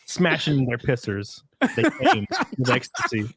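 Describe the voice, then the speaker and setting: Crude joking man, lively pitch, dry room. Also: measured pace, breathy edge, no echo. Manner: crude, laughing, mock-dramatic. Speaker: regular co-host. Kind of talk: crude casual banter.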